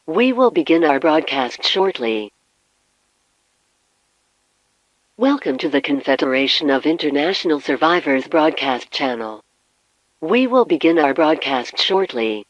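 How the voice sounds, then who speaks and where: A man playing a grave, formal announcer reading a scripted emergency broadcast for survivors of an apocalypse, in a measured delivery. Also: on the high side, animated, lightly acted. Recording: dull, muffled lo-fi broadcast audio.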